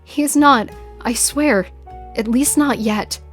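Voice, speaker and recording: A woman's voice: fairly high pitch, slow, soft background music, voice pushed up. A woman voicing a frightened, pleading young woman in a fantasy audio drama, stammering and begging. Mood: desperate pleading.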